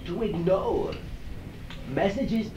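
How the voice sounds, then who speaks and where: An assured alien teacher channeled by a man speaks slowly, in deliberate, halting phrases. The pitch swings widely and the wording is formal and stilted. It comes from far off on an echoey old cassette. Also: expressive, warm tone, dull audio. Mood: instructive, encouraging. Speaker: channeler answering audience questions. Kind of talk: channeling session, question and answer. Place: echoey room, recorded from afar. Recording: echoey, noisy old cassette, distant mic.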